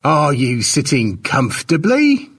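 Guy doing an old-time tribe storyteller, slow n dramatic, husky, pitched up, big swoops, audiobook tale. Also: huge pitch swings, a bit bright, distant mic, heavily acted. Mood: calling out.

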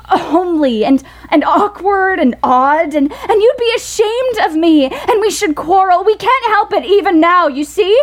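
A woman playing an earnest, independent young woman in a period audio drama, with a halting, pleading delivery. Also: very high-pitched. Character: earnest, plain-spoken, independent young woman refusing a suitor.

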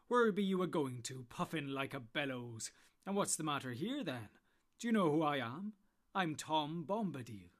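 A man voicing a jolly, booming old man of the woods in an audiobook, with a loud rustic sing-song manner and drawn-out words. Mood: bustling. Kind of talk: audiobook character voice.

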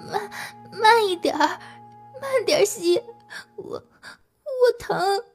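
A woman's voice: very high-pitched, slow pace, gravelly, soft background music, heavily acted. A woman acting an emotional, desperate young man in an audio drama, pleading. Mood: pained, weak. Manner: emotional, crying and whispering pleas. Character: panicked, tearful young man called Gao Dapang, desperately pleading.

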